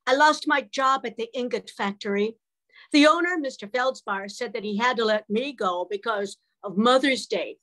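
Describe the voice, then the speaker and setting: A woman's voice: on the high side, normal speed, fairly bright tone, lightly acted. An older woman playing an apologetic, woeful old granny in a radio melodrama, speaking hesitantly. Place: at home on a group video call.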